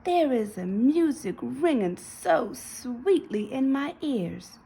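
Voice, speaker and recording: A woman's voice: sits high, expressive, slow pace, creaky, mellow, breathy edge, voice pushed up, heavily acted. A woman voicing a polite, determined newborn girl with a folksy, declarative way of talking in a children's storybook. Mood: delighted, dreamy. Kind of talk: children's storybook read-aloud.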